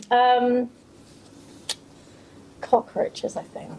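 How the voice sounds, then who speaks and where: A woman's voice: on the high side, fairly even intonation, extremely slow, darkish tone, poor audio. Casual, wryly teasing woman co-hosting a podcast. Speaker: podcast co-host. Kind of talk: casual, unscripted podcast banter.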